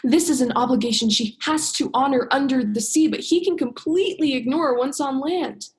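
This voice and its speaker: Casting: actress for young female diver, higher register, earnest, principled, emphatic, radio drama. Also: moderate pace, darkish tone. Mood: pleading, indignant. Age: young adult. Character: earnest, principled woman diver standing up for herself. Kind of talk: student radio play acting.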